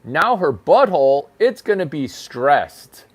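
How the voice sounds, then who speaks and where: A man talks slowly through a crude, rambling comedy riff, delivering bathroom humor as if giving a serious lecture. His voice sits a little high for a man and swings widely up and down, with sharp leaps in pitch when he stresses a point. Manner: animated pitch jumps for emphasis. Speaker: channel host. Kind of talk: crude improvised comedy monologue.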